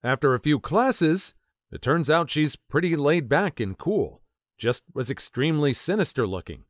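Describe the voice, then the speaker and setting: A man narrating online stories in a scripted reading style, with an expressive, lively delivery. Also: mid-range voice, dry recording, studio quality. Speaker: story narrator.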